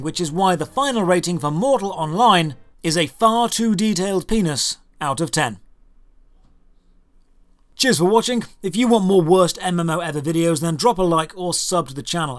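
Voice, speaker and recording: A man delivering scripted comedic review narration in a sardonic, deadpan manner into a close microphone. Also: high voice. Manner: sardonic, dry deadpan humour. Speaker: video game reviewer, host. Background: clear background music.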